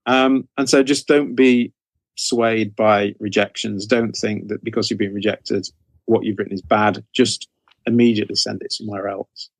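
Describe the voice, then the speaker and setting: A man's voice: fairly low pitch, steady tone, normal speed, no echo. A middle-aged man giving conversational interview answers as a guest author, rambling a little as he repeats and rephrases.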